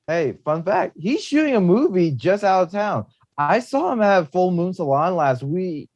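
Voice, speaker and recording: A man's voice: sits high, sing-song, moderate pace, darkish tone, lo-fi sound. A man acting a laid-back, easily impressed slacker buddy in a screenplay table read. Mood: easygoing, impressed.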